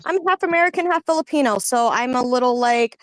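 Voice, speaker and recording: A woman's voice: high voice, quick pace, low-quality recording. A woman in a casual political discussion, speaking reflectively with many fillers. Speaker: panelist.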